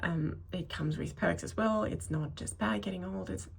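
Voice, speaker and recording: A woman's voice: fairly low pitch, moderate pace, vocal fry, slightly rough. A woman in her thirties giving an unscripted personal vlog monologue, speaking hesitantly and often circling back to correct herself. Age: 30s. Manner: hesitant, rambling, self-correcting. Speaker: video creator talking to viewers.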